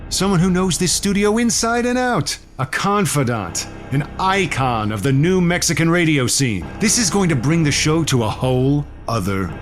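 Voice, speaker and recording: A man performs a male radio network boss in an audio comedy. His fairly high voice leaps about in pitch as he glibly coaxes and pitches with crass cheer. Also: expressive, moderate pace, hardly any pauses, soft background music, natural voice. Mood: persuasive. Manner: glib, persuasive, folksy wordplay. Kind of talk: comedic audio drama dialogue.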